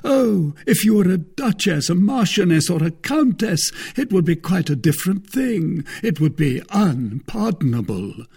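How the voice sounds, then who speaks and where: A man's voice: sits high, sing-song, measured pace, gravelly, few pauses, darkish tone, breathy edge, voice pushed up, exaggerated voice. A man voicing a proud musketeer in an audiobook, pompous and melodramatically wounded as he declaims.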